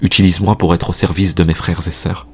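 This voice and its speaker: A man with a husky voice recites spoken-word poetry in a solemn, earnest, exhortatory manner, posing rhetorical questions. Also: low voice, little pitch movement, fast talker, darkish tone. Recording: music bed behind the voice. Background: music.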